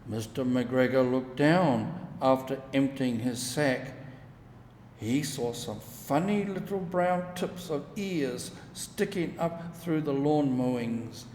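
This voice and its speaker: A man reading a children's story aloud as a narrator, with deliberate, measured storytelling delivery. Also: medium pitch, a little mellow. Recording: echoey reverberant room with clear background hiss.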